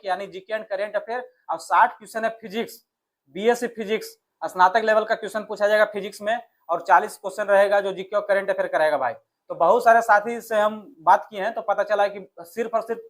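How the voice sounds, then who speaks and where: A man's voice: high voice, fairly bright tone. A man with a Bihar accent coaching informally for an exam, addressing listeners familiarly and often answering his own questions. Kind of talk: informal exam strategy lesson.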